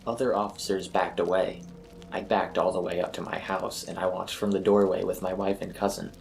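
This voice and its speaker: A man's voice: low-pitched, little pitch movement, brisk, vocal fry. A man narrating a scripted first-person horror story as its storyteller. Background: eerie ambient music.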